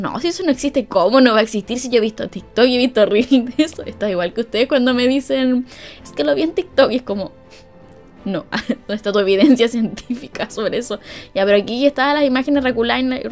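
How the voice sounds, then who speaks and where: A woman's voice: a little high, quick pace, darkish tone, faint music behind. A young woman with a Chilean accent hosting a podcast, telling a story casually, laughing often and acting out what people said. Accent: Chilean Spanish. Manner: chatty, laughs often, acts out quoted lines. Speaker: podcast host.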